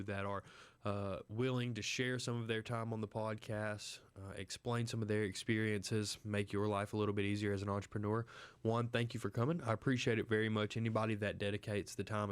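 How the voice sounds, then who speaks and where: A man hosting a business podcast in a measured, courteous way. His voice is fairly low and comes across smooth and resonant. The recording is dry, with no room sound around him. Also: dry recording. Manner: measured, polite, thankful. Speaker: podcast host. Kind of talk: scripted intro then interviewing.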